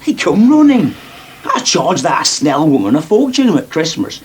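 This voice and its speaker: An actor playing a teasing, wily farm lad in a radio soap. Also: male voice, a little high, wildly swooping pitch, moderate pace, a little hiss or hum. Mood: wily, persuasive. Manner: teasing, sly, needling. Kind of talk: British radio soap acting.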